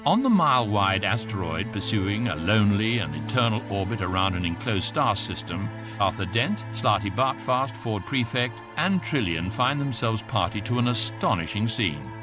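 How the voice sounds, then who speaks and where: A man's voice: on the low side, lively intonation, normal speed, few pauses, music in the background, natural voice. A British man narrating a radio comedy as an urbane, wry galactic guide, with dry storytelling. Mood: wry, grand.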